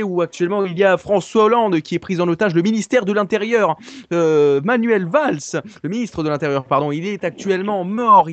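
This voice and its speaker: An earnest breaking-news anchor, played by a man with a high-pitched voice. He reports as if live, insisting and repeating himself while stumbling over his words in a mock broadcast for a prank call. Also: dry recording, natural voice. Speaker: prank caller.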